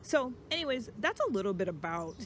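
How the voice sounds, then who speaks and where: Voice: medium pitch, very animated, a little fast, fairly bright tone, a little hiss or hum. A channel host talking casually and reflectively in a solo vlog, thinking aloud in a conversational way.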